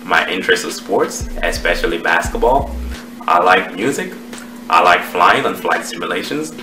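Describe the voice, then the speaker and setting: A man in his thirties with a Cameroonian accent, giving a measured, explanatory presentation to camera as a trading educator. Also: sits low, animated, pushed and bright, faint music behind. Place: small home office, indoors. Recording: roomy echo, distant microphone.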